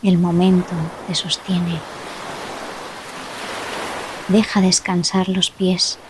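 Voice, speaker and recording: A woman guides a relaxation meditation in a gentle, soothing voice, set a little low. She speaks very slowly in short phrases, leaving long pauses. Clearly audible sea waves wash behind her throughout. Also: little pitch movement, noisy background. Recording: loud ocean waves behind the voice. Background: sound of sea waves.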